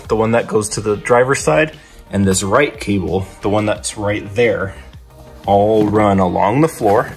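Casual young man vlogging over music. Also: fairly low pitch. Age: young adult. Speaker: car DIY vlogger. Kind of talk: casual vlog narration.

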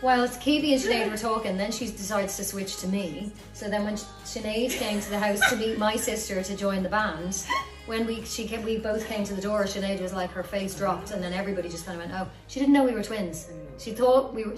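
A woman, a lively band member who keeps jumping in while chatting on a sofa. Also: mid-range voice, fairly quick. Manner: lively, laughing, jumps in. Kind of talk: casual chat. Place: living room, on a sofa. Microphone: far camera. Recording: roomy, distant, with music behind.

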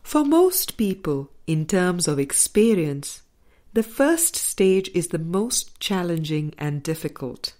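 A woman reading a spiritual audiobook as scripted narration, with measured, deliberate phrasing. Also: on the low side, big pitch swings, a little mellow. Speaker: audiobook reader.

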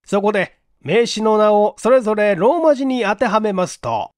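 A man voicing a courteous, earnest police detective chief explaining things in a measured, polite way in an audiobook. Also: sits high, expressive, no room sound, clean studio sound. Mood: explanatory. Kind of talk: dramatic audiobook dialogue.

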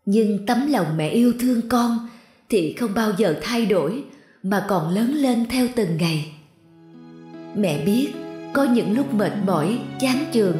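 A woman playing a worried, tender middle-aged mother in a cartoon, speaking gently and caringly. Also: medium pitch, breathy edge. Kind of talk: cartoon voice acting.

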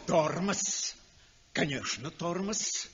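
An actor playing a snobbish, indignant conservative critic with a pompous, scornful manner in a 1960s radio drama. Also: male voice, on the high side, lively intonation, slow pace. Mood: scornful outrage. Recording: muffled old radio recording, hall echo, crowd.

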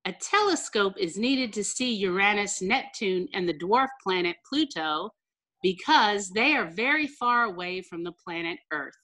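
A middle-aged American woman, a teacher reading a picture book aloud to children with careful, deliberate enunciation over a video call. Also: medium pitch, animated, sharp tone. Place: small room indoors, on a video call.

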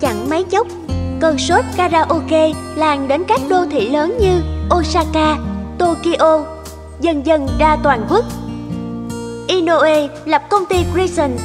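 A woman reading scripted narration for an animated story. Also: high voice, in a large hall. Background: background music.

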